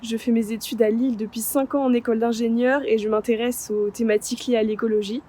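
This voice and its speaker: A woman in her twenties introducing herself in an earnest, steady way. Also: on the high side, fast. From France. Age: 20s. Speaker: team member introducing herself. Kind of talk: prepared self-introduction.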